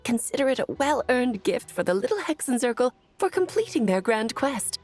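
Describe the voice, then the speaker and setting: An actress playing a playful, doting, mischievous witch mother in a video game, with a theatrical, teasing and gently wise delivery. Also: a little high, very animated, moderate pace. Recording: music behind the voice.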